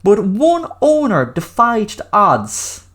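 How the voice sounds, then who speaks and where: A woman's voice: medium pitch, wildly swooping pitch, slow, a bit bright. A woman with an Irish accent hosting a solo podcast, speaking emphatically and repeating words for stress. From Ireland. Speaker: podcast host.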